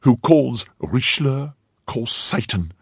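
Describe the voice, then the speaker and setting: A man voicing an indignant young wife who scolds her husband, pushing his husky voice higher. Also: very animated, takes it slowly, no echo, clean studio sound, exaggerated voice. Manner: scornful, pleading and scolding by turns. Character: young woman, indignant, fiercely loyal wife.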